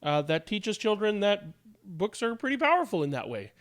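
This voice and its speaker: Male storybook reader, high voice, playful and theatrical, close dry sound. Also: dry recording. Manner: playful, expressive, dramatic pauses and emphasis. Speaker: read-aloud storybook reader. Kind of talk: theatrical children's picture book read-aloud.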